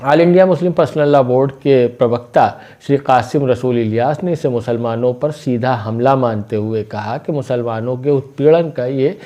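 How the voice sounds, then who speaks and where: A man's voice: mid-range voice, moderate pace, hardly any pauses, warm tone. A man presenting local news commentary, explaining things point by point in a conversational way.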